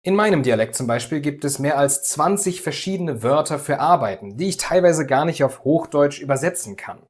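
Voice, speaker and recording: A man from the Düsseldorf area hosting a language lesson, explaining calmly and clearly in a scripted way. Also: fairly high pitch, fairly quick. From Düsseldorf area, Germany. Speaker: language teacher, host.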